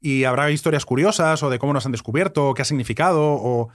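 Guy cohosting a podcast, talks fast, chatty, mid voice, studio mic. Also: medium pitch, no echo. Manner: fast, animated storytelling. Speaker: podcast co-host. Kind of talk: casual chat. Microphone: studio microphone.

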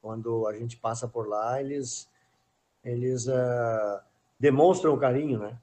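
Hesitant middle-aged man, average pitch. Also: measured pace, warm tone. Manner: hesitant, repeats words while thinking. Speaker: guest, former footballer. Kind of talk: casual interview chat.

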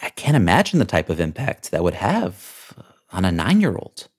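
A man's voice: deep voice, huge pitch swings, normal speed, slightly rough. A man hosting an interview podcast, enthusiastic and building drama as he introduces his guest. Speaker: podcast host.

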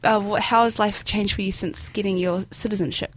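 A woman's voice: medium pitch, fairly even intonation, fairly quick, poor audio. Friendly young New woman hosting. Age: 20s. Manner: friendly, attentive, many mm-hmms. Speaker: podcast host. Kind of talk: casual interview chat.

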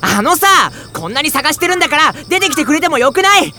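A woman playing an excitable, scatterbrained young boy who complains loudly in an audio drama. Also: high-pitched, quick pace, heavily acted. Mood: frantic. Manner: exclamatory, rambling comic complaints. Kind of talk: audio drama acting with first-person narration.

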